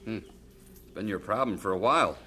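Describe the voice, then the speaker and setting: A man's voice: on the low side, fairly quick, sharp tone, slight background noise. A man playing an easygoing, joking wealthy American in an audio drama, with a wry, casual manner.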